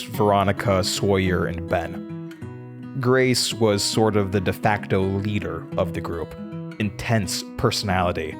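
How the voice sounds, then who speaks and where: Audio drama lead: weary young man, low register, dry and candid delivery, quietly defiant, with prominent guitar music underneath. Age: young adult. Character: weary, defiant young male college student haunted by his past.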